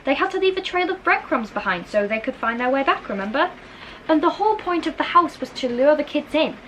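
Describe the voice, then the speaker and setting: A woman's voice: sits high, animated, brisk, a bit bright, a little hiss or hum, pitched above the performer's own voice. Woman voicing a prim, bookish young storybook girl. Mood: disapproving, earnest. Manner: prim, earnest, correcting. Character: bookish, disapproving eleven-year-old schoolgirl twin sister. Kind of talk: children's storybook character reading.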